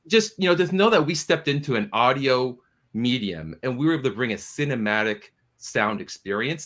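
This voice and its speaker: A man's voice: medium pitch, a bit bright. A man speaking conversationally as an interview guest, a producer talking up his team's work.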